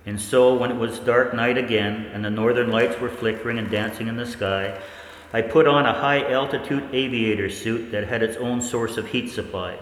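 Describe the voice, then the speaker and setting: A man playing a researcher who narrates his own experiment in a 1940s-style radio play, reflective and determined, with deliberate pauses. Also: medium pitch, steady tone, close to an everyday voice. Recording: echoey hall, faint background noise.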